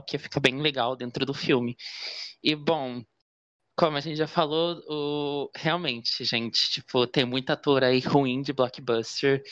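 A woman's voice: low-pitched, fairly bright tone, dry recording. Chatty woman on dry lo-fi audio. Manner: chatty, hesitant, trails off with fillers. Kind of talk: casual film review chat.

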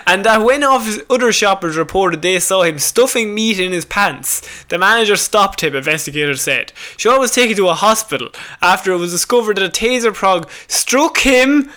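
A man's voice: high-pitched, lively intonation, moderate pace, hardly any pauses, pushed and bright. An Irish man co-hosting a comedy podcast, chatting casually and jokily about odd news and prone to laughing. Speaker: podcast co-host.

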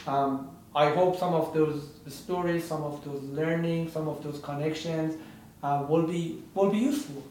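A man co-hosts a casual podcast with a slightly raised voice. He speaks earnestly and in measured phrases, often pausing on "um" and "you know." He sounds far from the mic, in a very echoey room. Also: a little high, darkish tone, slight background noise. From Iran. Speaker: podcast co-host.

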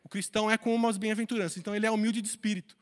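A man's voice: sits high, steady tone, racing. A man with a Brazilian accent giving an informal sermon, speaking colloquially and emphatically as a preacher leading a Bible study. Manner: colloquial, emphatic, acts out imagined dialogue with rhetorical questions. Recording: reverberant hall.

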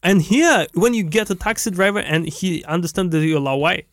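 Russian-accented man, fairly high voice, casual, laughs a lot, gropes for words, dry close mic. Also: normal speed, no echo. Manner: laughs often, searches for words, frequent uh-huhs. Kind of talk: casual chat.

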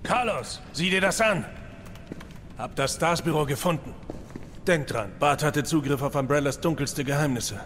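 No-nonsense soldier partner male actor, horror radio play; slightly high male voice, brisk delivery, noisy ambience behind. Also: a bit bright, in a large hall, lightly acted. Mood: businesslike. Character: no-nonsense male soldier partner, teasing but level-headed. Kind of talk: dramatic audio drama acting of a video game story.

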